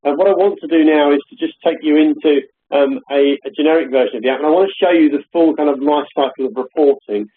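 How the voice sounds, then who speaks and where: A man giving a hesitant, filler-heavy software demonstration on a live call from his home office. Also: on the high side, fast talker, hardly any pauses, slightly rough, phone-quality sound. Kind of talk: live webinar product demo. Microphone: call audio.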